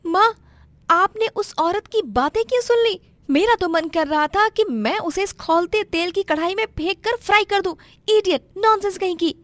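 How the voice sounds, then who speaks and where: Woman acting a high-voiced, fiery young daughter. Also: moderate pace, fairly bright tone, no room sound. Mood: indignant. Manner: emphatic, spirited. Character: fiery, protective young daughter of a poor cook. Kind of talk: dramatised Hindi moral story.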